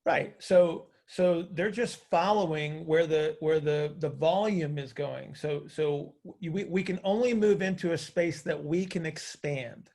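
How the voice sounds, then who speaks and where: Gravelly, higher-pitched man coaching a call. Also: measured pace, hardly any pauses, darkish tone. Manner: didactic, checks understanding with questions, repeats phrases for emphasis. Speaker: coach hosting a group call, teaching. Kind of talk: conversational coaching on a conference call.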